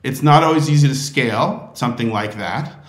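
A man hosting an interview, talking in a friendly way with some fillers and restarts. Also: mid-range voice. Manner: friendly, slightly halting with fillers. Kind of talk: hosted interview. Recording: echoey room sound.

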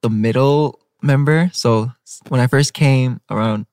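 A young man who is a guest in a casual interview, speaking in a soft-spoken, reserved way with hesitations and fillers. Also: mid-range voice, a little slow, no echo. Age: young adult. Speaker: K-pop group member, guest.

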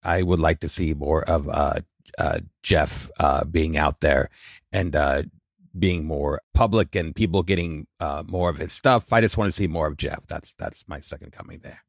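A man with a deep voice co-hosts a comedy podcast. He improvises in a halting, rambling manner, and the sound is dry and close. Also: vocal fry, dry recording. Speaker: podcast co-host.

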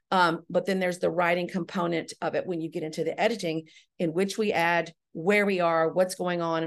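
Female interview guest, slightly low voice, explanatory and conversational, checks in often as she explains. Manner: explanatory, often checking with "right?" and "you know". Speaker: guest, audio drama writer-director.

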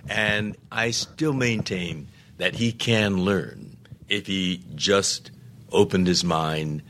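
An older man speaking as a podcast guest, earnest and deliberate, saying his voice is going. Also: sits low, fairly bright tone, slight background noise. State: losing his voice. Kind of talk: interview conversation.

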